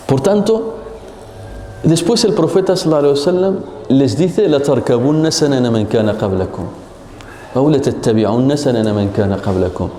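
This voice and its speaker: A man giving an Islamic religious lesson, animated and full of rhetorical questions. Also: medium pitch, a little hiss or hum. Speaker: religious teacher. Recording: echoey hall with long reverb.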